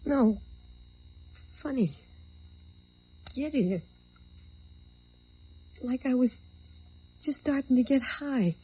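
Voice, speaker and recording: A woman's voice: high-pitched, lively intonation, slow, long pauses, mellow, breathy edge, exaggerated voice. An actress playing a dazed, wistful woman in an old radio drama, speaking hesitantly and colloquially after a crash. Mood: giddy, confused. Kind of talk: 1940s radio drama acting. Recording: muffled old radio recording.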